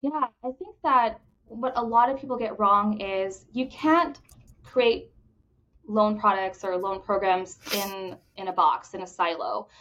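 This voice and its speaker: A woman from the Bahamas answering interview questions with enthusiasm, talking quickly and often restarting her phrases. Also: medium pitch, a bit bright. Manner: enthusiastic, fast talker with repeated restarts. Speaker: credit union executive, podcast guest. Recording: dull lo-fi audio.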